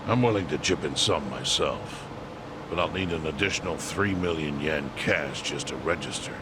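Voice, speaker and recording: A man voicing a measured, pragmatic older yakuza chairman with a dry, deliberate manner in a game dub. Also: rumbling low, very noisy. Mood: firm. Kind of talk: video game English dub acting.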